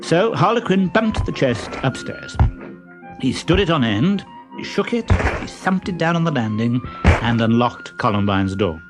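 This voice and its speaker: A man reading a children's story as scripted, expressive narration. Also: on the high side, unhurried, music in the background.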